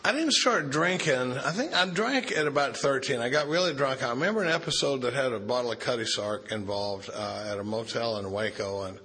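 A man's voice: medium pitch, fairly quick, hardly any pauses, bright, forceful tone. A man from Texas, telling his recovery story in a folksy, joking way with many restarts. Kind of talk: recovery meeting talk with jokes.

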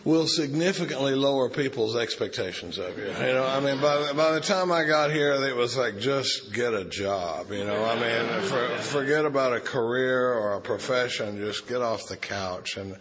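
A man's voice: medium pitch, normal speed, hardly any pauses, a bit bright. A man from Texas, telling his recovery story in a folksy, joking way with many restarts. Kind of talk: recovery meeting talk with jokes.

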